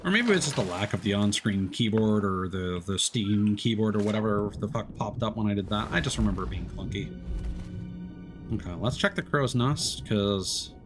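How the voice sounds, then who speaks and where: Male streamer, low-ish voice, rambling casual game commentary, music bed underneath. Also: animated, moderate pace. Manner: rambling, self-interrupting, mild swearing.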